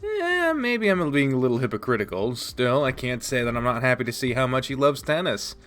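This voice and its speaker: A man voicing a troubled, guarded teenage boy athlete in a low, subdued way. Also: sing-song, a little fast, a bit bright, faint music behind. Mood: chatty.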